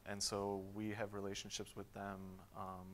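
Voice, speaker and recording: A man in his thirties answering audience questions at a tech conference, relaxed, with lots of "um"s and restarts. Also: fairly low pitch, normal speed, a little hiss or hum. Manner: relaxed, many fillers and restarts. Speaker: conference keynote speaker. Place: large conference hall stage. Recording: long hall reverb.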